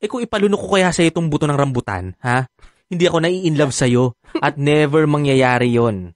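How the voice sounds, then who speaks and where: A man's voice: a little high, a little fast, dry recording, studio quality, natural voice. An actor playing a sassy, camp male best friend in a Filipino radio drama, exasperated and reluctant yet caring. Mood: defensive, caring.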